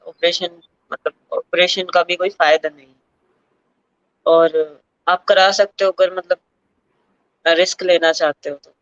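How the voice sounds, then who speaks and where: A young woman from Uttar Pradesh talks through her life story in a relaxed, searching way, pausing often to find words. Her voice is smooth and clear, recorded close and dry with a slightly dull, muffled sound. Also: lowish voice, dry recording. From Uttar Pradesh, India. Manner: thoughtful, many fillers, mixes English words into Hindi. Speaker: para-athlete sprinter, interview guest. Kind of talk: candid personal interview.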